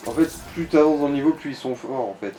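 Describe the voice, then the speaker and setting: A man's voice: mid-range voice, quick pace, mellow, a little hiss or hum, rough recording. A man chatting casually as he guides a player through a game, in informal, crude slang. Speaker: co-commentator guiding the player. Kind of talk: casual gaming chat.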